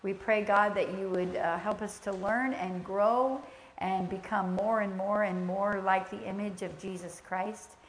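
Woman, soft-spoken, gentle conversational sermon, church room echo, faint background noise. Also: medium pitch, steady tone, measured pace. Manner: gentle, conversational, with fillers and restarts. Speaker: church teacher leading a session. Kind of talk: sermon teaching with prayer. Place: church sanctuary.

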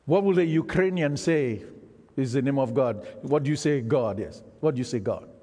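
A man's voice: medium pitch, normal speed, warm tone. A man, Isoko his tongue, preaching a sermon and engaging the congregation with questions. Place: church hall. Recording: reverberant hall.

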